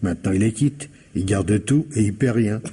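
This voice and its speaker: A man's voice: on the low side, normal speed, dark tone, husky. A man acting as a patient, doggedly suspicious police investigator in a French radio mystery, speaking conversationally and insistently. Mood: pensive, suspicious. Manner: conversational, questioning, hesitant and insistent.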